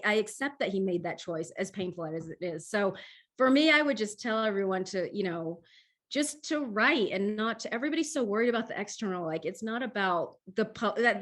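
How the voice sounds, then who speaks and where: A woman's voice: medium pitch, a bit bright. Woman, author guest, earnest, self-interrupting, panel talk. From Vietnamese-American. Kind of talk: panel discussion.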